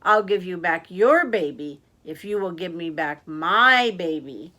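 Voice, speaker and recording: A woman voicing a protective, cautious father in a children's storybook, speaking deliberately and emphatically. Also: medium pitch, very animated, fairly bright tone, heavily acted. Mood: demanding.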